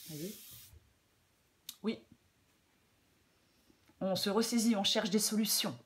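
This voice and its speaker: Slightly hoarse French woman reading tarot. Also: medium pitch, slow. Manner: hesitant, many fillers and repeated phrases. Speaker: tarot reader. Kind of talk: casual spoken tarot guidance.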